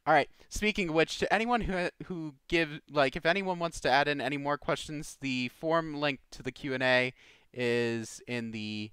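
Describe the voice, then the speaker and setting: A man's voice: fairly high pitch, moderate pace, a bit bright, smooth, no echo. A man hosting a live Q&A podcast in a casual, informal way, reading out questions and commenting loosely. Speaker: host of a live community Q&A show.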